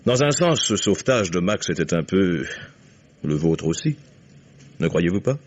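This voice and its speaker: An actor playing an older male investigator in an old French radio mystery, courteous and insinuating, with measured irony. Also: low voice, big pitch swings, full character voice. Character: shrewd older investigator, courteous, ironic, accusing father. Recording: dull vintage radio recording.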